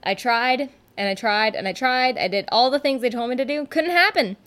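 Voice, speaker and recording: A woman's voice: on the high side, moderate pace, fairly bright tone. A woman hosting her own solo podcast from her couch at home, talking in a chatty, rambling, candid way and often poking fun at herself. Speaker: podcast host and rock musician. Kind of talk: casual solo podcast monologue.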